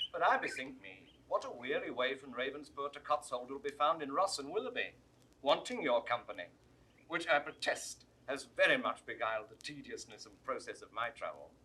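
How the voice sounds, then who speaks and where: Husky, blustering British nobleman. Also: mid-range voice, pushed and bright, poor audio. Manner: declamatory, emphatic. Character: blustering seasoned English nobleman, a proud father. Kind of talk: classical Shakespearean stage acting. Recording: 1960s studio audio drama recording.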